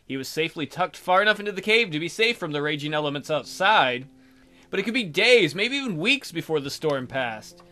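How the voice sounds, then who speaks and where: A man's voice: fairly high pitch, expressive, a little fast, pushed and bright, soft background music. A man hosting a let's play, reading game text aloud expressively and adding casual jokey commentary.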